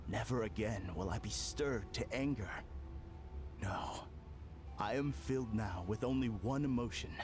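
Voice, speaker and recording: An actor playing a calm, formal, smugly polite villain in an anime video game, with theatrical delivery. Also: male voice, on the low side, close to an everyday voice. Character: calm, polite, smug male villain claiming perfected happiness.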